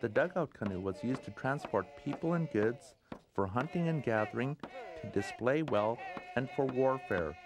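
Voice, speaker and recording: A man narrating a documentary in a calm, measured way. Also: mid-range voice. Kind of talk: scripted narration.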